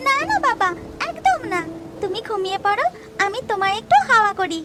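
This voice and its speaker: A woman's voice: very high voice, animated, brisk. A woman voicing an eager, caring little village girl in a cartoon. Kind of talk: cartoon voice acting. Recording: loud music over the voice.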